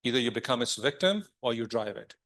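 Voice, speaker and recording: A man's voice: mid-range voice, lively intonation, fast, a bit bright. A man answering audience questions after a conference talk, thoughtful, with frequent restarts. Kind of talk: Q&A discussion.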